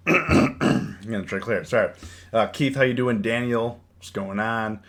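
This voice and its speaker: A man with a cold and a hoarse voice, hosting a casual live stream and chatting with his viewers, often repeating his words. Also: lowish voice, measured pace, fairly bright tone. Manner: rambling, repeats words, reads chat aloud. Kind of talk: casual live stream chat about trading.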